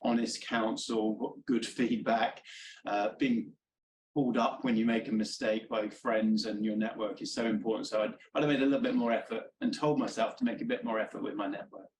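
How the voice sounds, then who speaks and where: A man's voice: fairly low pitch, fairly even intonation, moderate pace, a little hiss or hum, poor audio. A man speaking as a panel guest, giving personal advice in a reflective, conversational way.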